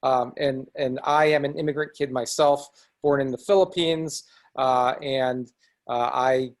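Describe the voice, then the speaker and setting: A man's voice: medium pitch, steady tone. A middle-aged man from the Chicago area introduces a webinar over a video call. His voice sits in an ordinary mid register, and he talks earnestly, stopping and restarting often as he gathers his thoughts. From Chicago area, United States. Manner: earnest, halting, with frequent restarts. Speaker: nonprofit executive director, webinar host. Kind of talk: presenting in a webinar.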